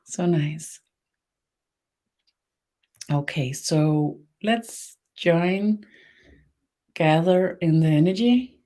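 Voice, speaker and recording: Danish lady leading a spiritual webinar, soft dark voice, lots of ums and restarts. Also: sits low. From Denmark. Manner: slow, searching, many fillers and restarts. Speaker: webinar host, spiritual teacher. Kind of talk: live-streamed spiritual webinar.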